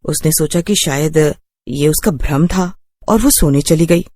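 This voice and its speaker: A woman's voice: deep voice, a little slow, a little mellow. A woman reading scripted horror story narration as a storyteller.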